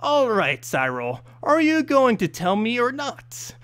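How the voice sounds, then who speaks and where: A man's voice: very high voice, huge pitch swings, measured pace, a bit bright, dry recording, studio quality, voice pushed up, full character voice. A man voicing an exasperated, businesslike woman who summons demons in a fantasy story, firm and probing. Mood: impatient.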